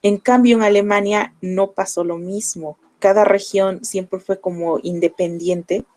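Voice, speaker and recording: A young Mexican woman with a Mexican Spanish accent, explaining to her students in a relaxed classroom manner full of fillers. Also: mid-range voice, a little slow. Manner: conversational, explanatory, with frequent fillers. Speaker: language teacher. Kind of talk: online class lecture.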